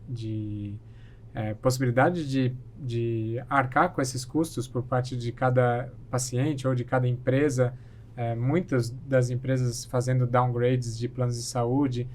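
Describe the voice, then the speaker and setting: Hesitant man, measured speech. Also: medium pitch, fairly even intonation, a little mellow, a little hiss or hum. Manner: thoughtful, hesitant with frequent restarts. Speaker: guest. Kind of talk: casual chat.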